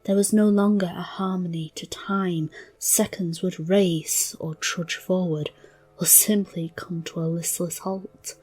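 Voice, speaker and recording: Slow, breathy, hushed woman storyteller. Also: on the low side, fairly even intonation. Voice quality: breathy, half-whispered. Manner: hushed, deliberate. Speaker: storyteller, narrator. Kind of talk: scripted fairy-tale narration over music. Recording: narration over background music.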